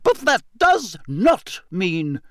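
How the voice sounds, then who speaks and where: A man's voice: high voice, wildly swooping pitch, drawn-out words, a bit bright, no echo, pitched above the performer's own voice, exaggerated voice. A stern older schoolmistress, put on by a man reading aloud, with a raised, clipped voice that swoops up sharply on commands. She speaks firmly and disapprovingly, the sound dry and close, as on an audiobook. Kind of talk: audiobook character voice.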